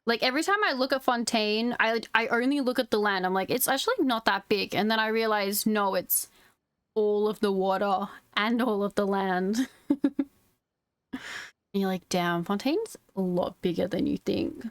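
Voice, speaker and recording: A woman's voice: medium pitch, normal speed, clean tone, no room sound. A woman streaming a video game, chatting casually with her viewers as she plays. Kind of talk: casual live stream commentary.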